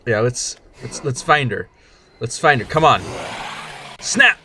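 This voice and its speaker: A man's voice: mid-range voice, very animated, slow pace, sharp tone, a little hiss or hum. Rambling, jokey male game streamer. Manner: rambling, jokey, stammers and restarts. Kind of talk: casual gameplay commentary.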